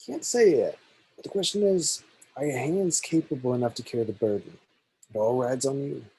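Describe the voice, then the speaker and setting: A man playing an easygoing, cryptic prophet in an amateur screenplay table read, with a husky voice. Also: mid-range voice, moderate pace, warm tone, slight background noise, close to an everyday voice, rough recording. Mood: solemn.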